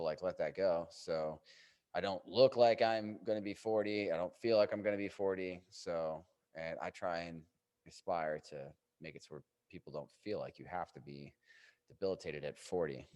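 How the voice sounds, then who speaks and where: A man's voice: low-pitched, darkish tone. A man in his late thirties, a fitness trainer, talking casually and loosely to camera with lots of fillers. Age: 30s. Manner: rambling, loose, filler-heavy.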